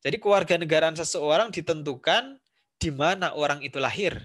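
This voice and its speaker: Bright-voiced Indonesian male lecturer. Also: a little high, fairly quick. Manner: explains step by step with examples, repeats points. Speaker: civics lecturer. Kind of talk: recorded university lecture.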